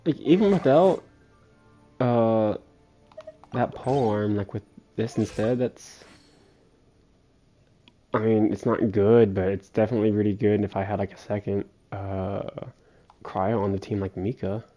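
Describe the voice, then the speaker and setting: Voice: mid-range voice, measured pace, pauses often, mellow, highs cut off, faint music behind. A game streamer giving casual livestream commentary, muttering and thinking aloud while playing.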